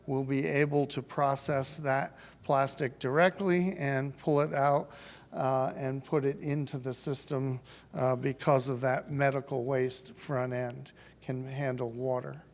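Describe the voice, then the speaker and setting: A man's voice: mid-range voice, level tone, measured pace. A man in his sixties giving a business presentation at a conference podium. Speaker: company founder presenting at a conference. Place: large conference hall at a podium. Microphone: podium microphone. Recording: reverberant conference hall sound.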